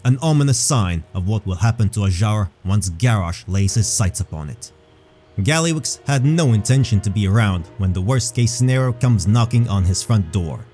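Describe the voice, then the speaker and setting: Male fantasy narrator over soft music. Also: lowish voice. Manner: measured, dramatic storytelling. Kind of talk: scripted fantasy story narration.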